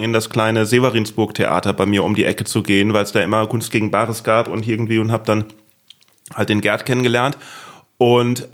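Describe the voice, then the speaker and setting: A man hosting a podcast in a casual, conversational style, joking and self-deprecating as he talks. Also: mid-range voice. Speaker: podcast host.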